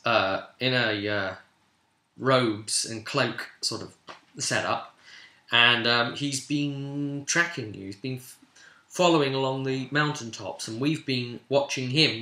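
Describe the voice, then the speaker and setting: A man's voice: mid-range voice, a little slow, bright, forceful tone. A man casually retelling a tabletop role-play session as game master, rambling and informal, slipping into bits of dialogue. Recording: echoey room.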